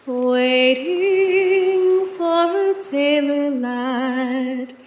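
A woman's voice: sits high, very slow, roomy sound, soft background music. A woman singing a folk ballad in a sustained, storytelling way.

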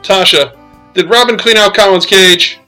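A man's voice: high voice, normal speed, bright, forceful tone, faint music behind. A man playing a sensible, exasperated studio colleague who corrects and questions the others in a comedy audio drama.

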